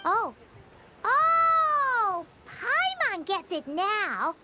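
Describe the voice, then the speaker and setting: A woman voicing a tiny, excitable female companion sprite in a video game cutscene, talking about herself in the third person and quick to jump to conclusions. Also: very high-pitched, heavy background noise, heavily acted. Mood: eager.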